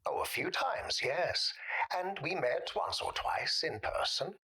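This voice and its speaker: An actor playing a polite but sinister middle-aged man whom nobody can remember, speaking formally and deliberately in a husky, breathy voice for an audio drama. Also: a little high, lively intonation, pushed and bright, full character voice. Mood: courteous, weary. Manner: courteous, deliberate, formally worded.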